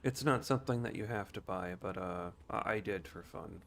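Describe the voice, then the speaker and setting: A low-pitched man commentates on his game in a casual, rambling style. He explains things without hurry, as a streamer would, with faint noise in the background. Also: normal speed, fairly bright tone.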